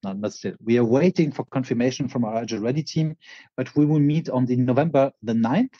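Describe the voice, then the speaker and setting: Quick-talking French man, husky and dull. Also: medium pitch, dark tone, no echo. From France. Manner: quick, with frequent restarts and fillers. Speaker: co-host. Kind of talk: casual podcast chat.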